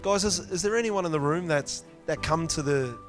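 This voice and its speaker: A man's voice: fairly high pitch, moderate pace, faint music behind. An Australian man hosting and interviewing, chatty and upbeat, prompting his guests. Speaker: interviewer and event host. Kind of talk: casual interview. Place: restaurant function room with music playing.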